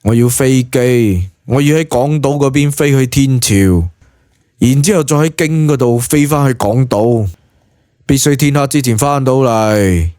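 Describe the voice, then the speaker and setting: A man's voice: medium pitch, unhurried, mellow, dry recording, studio quality, lightly acted. A man voicing a brash, foul-mouthed young male hero of an audio drama, blunt and slangy, with a Hong Kong Cantonese accent. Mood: demanding.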